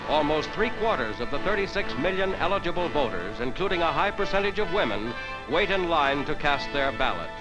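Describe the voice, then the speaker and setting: American guy doing old 40s newsreel narration, highish voice, formal announcer delivery, loud music behind. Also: sing-song, fairly quick, low-quality recording. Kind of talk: 1940s newsreel scripted narration.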